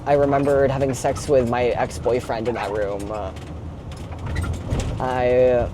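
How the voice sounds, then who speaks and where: A man's voice: mid-range voice, flat delivery, slow pace. A young man chatting casually and confessionally while driving a truck, in an informal, sweary, rambling way peppered with "like". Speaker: vlogger. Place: inside a moving box truck. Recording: clear vehicle and road noise. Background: engine and road noise.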